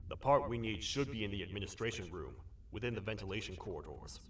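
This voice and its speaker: Man acting a gruff low-voiced toad sheriff. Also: animated, fast talker, a little hiss or hum. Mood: grave, steady. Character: gruff, loyal toad sheriff, protector of the Queen. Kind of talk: video game character acting. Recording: distant, loud music behind.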